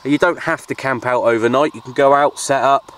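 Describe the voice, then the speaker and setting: A man with a British accent chatting casually and informally to viewers in an unhurried, easygoing way, like an outdoor hobby vlogger. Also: steady tone, fairly bright tone, smooth. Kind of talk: casual outdoor vlog chat. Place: outdoors at a windy makeshift shelter. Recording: noisy outdoor recording with ambient rumble.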